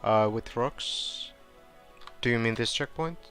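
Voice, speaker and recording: A man casually talking through a game walkthrough, relaxed and rambling with fillers, his voice going hoarse. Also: medium pitch, slow pace, faint music behind. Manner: relaxed, rambling, with frequent fillers and self-corrections. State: hoarse, clearing his throat. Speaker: gameplay tutorial streamer. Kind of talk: casual gameplay walkthrough commentary.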